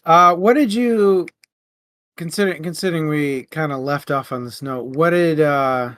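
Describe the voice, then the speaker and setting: A man in a casual book discussion, informal and halting as he searches for his words. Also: a little high, fairly bright tone, no room sound, clean studio sound.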